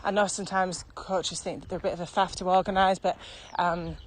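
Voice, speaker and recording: A woman's voice: fairly low pitch, level tone, a little fast. British woman chatting outdoors, faint background noise. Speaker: virtual training expert being interviewed. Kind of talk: casual podcast chat, explaining from experience. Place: outdoors, walking on a country path.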